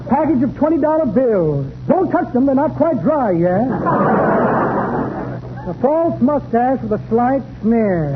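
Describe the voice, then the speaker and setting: A man's voice: very high-pitched, exaggerated voice. A man playing a pompous, long-winded swindler of a salesman in florid 1930s radio comedy style. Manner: florid, grandiose, rambling patter. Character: pompous, wordy old-style male con man and salesman. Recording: noisy, tinny 1930s radio broadcast recording.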